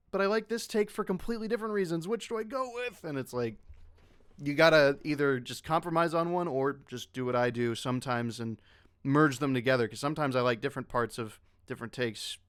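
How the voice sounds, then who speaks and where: A man's voice: a little high, brisk, fairly bright tone, smooth, dry recording, clean studio sound. A man talking casually on an online panel as a voice actor who directs dubs, explaining things with joking asides. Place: online panel over voice call.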